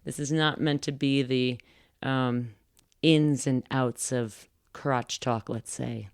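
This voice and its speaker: A woman's voice: a bass voice, fairly even intonation, takes it slowly, clear, resonant tone, no echo. Teasing woman host, dry close sound. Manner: teasing, playful, laughs often. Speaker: podcast host. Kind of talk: casual podcast interview.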